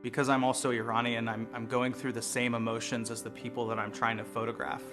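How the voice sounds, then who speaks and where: An American man, a lawyer and street photographer, speaking in an earnest, measured, reflective way in a documentary interview. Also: mid-range voice, little pitch movement. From United States. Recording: music bed playing behind voice.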